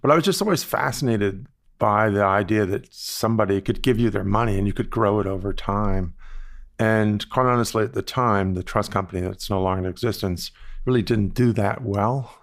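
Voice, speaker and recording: A man in his sixties from the Bahamas, telling stories about his career in a measured, reflective way, as an interview guest. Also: on the low side. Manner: measured, reflective, with frequent "you know" asides. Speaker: guest, investment firm founder. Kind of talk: relaxed storytelling interview answers.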